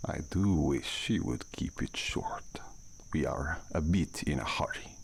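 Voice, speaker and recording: A man playing a courteous, brooding vampire count in an ASMR audio drama, speaking formally in a gravelly near-whisper. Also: very deep voice, animated, heavily acted. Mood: calm, guarded. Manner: formal, slow, measured speech. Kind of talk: ASMR roleplay acting. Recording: noisy, night crickets and outdoor ambience.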